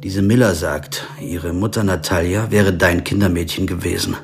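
An actor playing a wry, pragmatic lawyer in a radio crime drama, narrating and speaking in a dry, understated way. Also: male voice, fairly low pitch, close to an everyday voice. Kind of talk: scripted first-person narration and radio drama dialogue.

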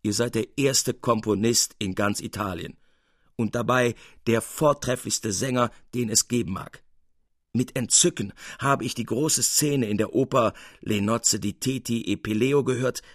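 A man voicing a bold, mischievous male painter who flatters with exaggerated, honeyed courtesy in a storybook reading. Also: medium pitch, slightly rough, dry recording, studio quality.

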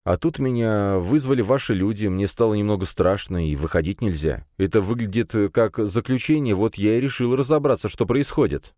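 A man voicing a nervous, earnest nineteen-year-old janitor in an audiobook, hesitant and quick to justify himself. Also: on the low side, dark tone, no echo, clean studio sound, pitched above the performer's own voice, close to an everyday voice. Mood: cautious, uneasy. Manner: hesitant, flustered, self-justifying.

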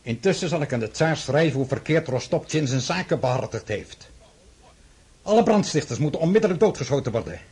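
An actor playing an imperious, overconfident French emperor in a radio drama, brusque and commanding. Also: male voice, on the high side, very animated, a little fast, a little mellow, husky, full character voice. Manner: brusque, commanding, self-assured.

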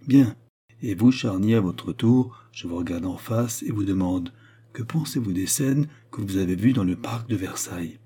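Proud queen for a historical audiobook, played by a man: commanding, emphatic, theatrical, with a dark, husky tone. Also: lowish voice, normal speed. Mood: defiant. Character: proud, imperious queen, defiant and wounded in love. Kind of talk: dramatized historical novel reading.

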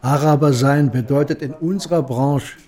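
An older male actor playing a wry, kindly old shopkeeper with a husky voice in a German radio play. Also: medium pitch, normal speed, noisy background, close to an everyday voice. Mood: wry, calm.

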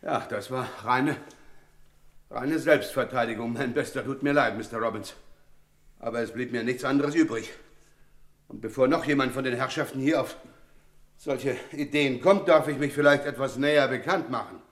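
A man's voice: medium pitch, normal speed, lightly acted. Wry, self-assured police inspector, played by a man. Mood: cool, composed. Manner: drily ironic, politely explaining. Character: self-assured, wry male Scotland Yard chief inspector. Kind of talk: German radio crime drama acting.

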